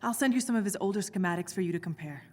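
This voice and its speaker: A woman's voice: medium pitch, animated, very fast, a little mellow, lightly acted. Woman acting a worried young biochemist, reverberant sound. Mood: uneasy. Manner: candid, casual, thoughtful. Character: worried but resolute young female biochemist. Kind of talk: naturalistic video game voice acting.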